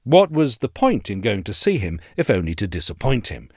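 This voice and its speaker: A man reading a scripted history essay aloud as narrator, in a measured, articulate and formal delivery. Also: on the low side, sing-song, darkish tone, no room sound, studio quality.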